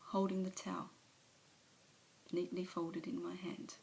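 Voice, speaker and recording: Woman performing as a gravelly, ashamed recovering mother. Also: fairly low pitch, level tone, a little slow, a little mellow, a little hiss or hum. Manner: confessional, dropping to whispers at times. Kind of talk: dramatic monologue performance. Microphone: phone camera.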